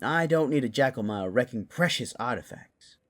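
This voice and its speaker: Man playing an irascible lord, wildly animated. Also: mid-range voice, moderate pace, lightly acted. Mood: indignant. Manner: theatrical, indignant. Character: irascible, haughty lord guarding his precious artifacts. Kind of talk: audio drama acting.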